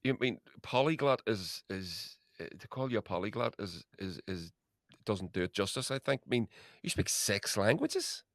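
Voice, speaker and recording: A man hosting a podcast interview, enthusiastic and quick to praise, often stumbling over his words. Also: fairly low pitch, lively intonation. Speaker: podcast host. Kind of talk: conversational interview.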